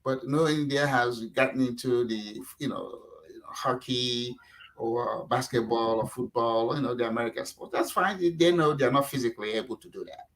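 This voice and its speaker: A man's voice: mid-range voice, moderate pace, fairly bright tone, poor audio. A man in a casual talk show discussion, rambling with many fillers and restarts.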